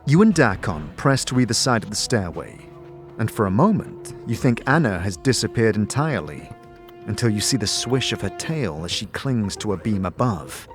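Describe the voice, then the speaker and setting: Low male narrator, expressive range, theatrical fantasy storytelling, deliberate phrasing, faint music underneath. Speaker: narrator and game-story performer. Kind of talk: dramatic second-person fantasy narration.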